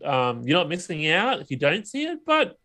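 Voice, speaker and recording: Man, fairly high voice, podcast co-host, casual film chat, conversational. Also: huge pitch swings, moderate pace, sharp tone.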